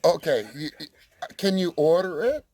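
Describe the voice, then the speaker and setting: A man's voice: a little high, lively intonation, moderate pace, darkish tone, slight background noise. A man making a casual prank phone call, speaking with feigned earnestness as a clueless customer. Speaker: prank caller.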